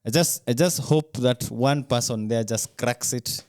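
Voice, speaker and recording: A man co-hosts a sports podcast in a medium-pitched voice. He explains things in a casual, conversational way. The sound is dry, with hardly any room on it. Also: unhurried, a little mellow, no echo. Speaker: podcast co-host.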